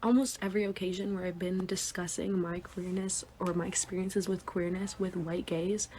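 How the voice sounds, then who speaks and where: A woman in a social media clip speaking deliberately, holding back frustration as she shares her view. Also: on the low side, little pitch movement, warm tone, a little hiss or hum. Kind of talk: personal opinion clip.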